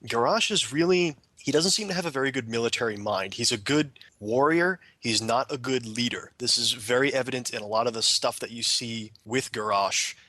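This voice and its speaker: A man in a casual panel discussion, giving opinions while pausing and searching for words. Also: mid-range voice, pushed and bright, no echo. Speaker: podcast panelist.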